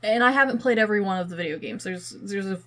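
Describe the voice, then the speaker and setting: A woman chatting as a podcast co-host, with a medium-pitched voice. She talks informally and feels her way through each thought, often hedging as she goes. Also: quick pace, a bit bright. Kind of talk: casual chat about films and games.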